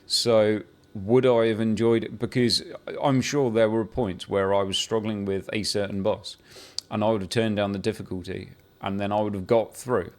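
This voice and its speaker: Rambling low-voiced man talking games. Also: moderate pace, hardly any pauses. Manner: rambling, with frequent restarts and swearing, building to excited outbursts. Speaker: gaming video creator. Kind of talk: casual unscripted game talk to camera.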